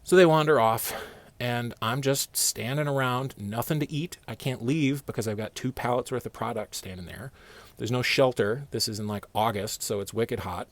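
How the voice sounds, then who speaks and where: A man's voice: mid-range voice, moderate pace. A man telling a personal story in a casual, slangy, conversational way, with some swearing and acted dialogue.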